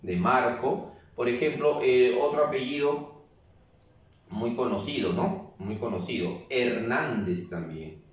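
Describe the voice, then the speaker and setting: A man's voice: medium pitch, slow pace. A man teaching a grammar class, explaining step by step and checking in with the students. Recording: very echoey room, mic far off.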